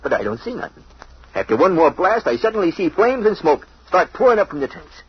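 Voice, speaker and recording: Husky, defensive radio-drama crook. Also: male voice, high-pitched, sing-song, brisk, full character voice. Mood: earnest, insistent. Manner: slangy tough-guy talk. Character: fast-talking crook pleading his innocence, slangy and defensive. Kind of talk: old-time radio crime drama acting. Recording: dull, muffled vintage radio recording.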